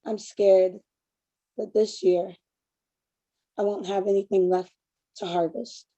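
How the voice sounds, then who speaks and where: A woman reading her own poem at an online open mic over a video call, her voice going hoarse, in a calm, measured way. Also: medium pitch, lo-fi sound. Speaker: poet.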